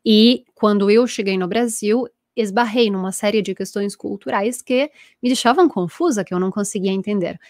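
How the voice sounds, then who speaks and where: An Italian woman in her thirties teaches a live online class through an earphone mic, animated and chatty as she reads out viewer comments. Her voice sits at an ordinary middle pitch. The sound is dry and close, with no room echo. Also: normal speed, few pauses. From Italy. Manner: lively, reads viewer comments, gestures a lot. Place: small room at home with bookshelves. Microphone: earphone headset mic.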